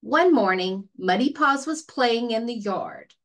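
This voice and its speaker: A woman reading a children's story aloud as a librarian, expressive and deliberate. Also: fairly high pitch.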